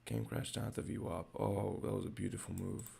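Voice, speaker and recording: Raspy young guy streaming trades, super gravelly, deep voice, just thinking out loud. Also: flat delivery, fairly quick. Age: young adult. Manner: thinking aloud, low-key. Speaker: day trader streaming live. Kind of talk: casual live-stream commentary.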